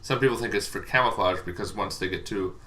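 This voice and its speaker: A man's voice: on the low side, fast, sharp tone. A man hosting a show on tabletop gaming lore, explaining things informally and in a rambling way to viewers. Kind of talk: casual explainer talk to viewers.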